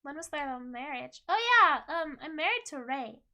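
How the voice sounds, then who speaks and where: A young woman streaming a game and chatting with viewers, playful and teasing, her voice a little hoarse. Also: high voice, big pitch swings, normal speed, sharp tone. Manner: playful, giggly, teasing chat. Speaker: VTuber streamer. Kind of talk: casual game stream chat, reading chat.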